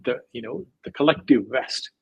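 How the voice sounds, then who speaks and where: A man's voice: mid-range voice, normal speed, slightly rough, no room sound. A man speaking as an interview guest, talking in a digressive, emphatic way with many fillers. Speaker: guest, crypto scientist. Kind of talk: casual interview talk.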